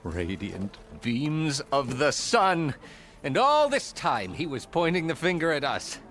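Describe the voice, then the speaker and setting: An actor playing a stern, weary town magistrate in a video game, formal, measured and dryly amused. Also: male voice, a little high, very animated, fairly bright tone, soft background music, heavily acted. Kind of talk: video game cutscene acting.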